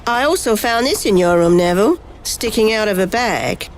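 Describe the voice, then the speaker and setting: A woman playing a brisk, secretive, commanding FBI agent in an amateur radio play. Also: medium pitch, expressive, lightly acted. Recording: noticeable background noise.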